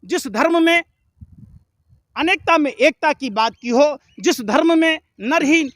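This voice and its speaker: A man's voice: very high voice, lively intonation, takes it slowly, a bit bright, dry recording, studio quality. A man speaking in a heated political argument, combative and indignant, with emphatic repetition and rhetorical questions.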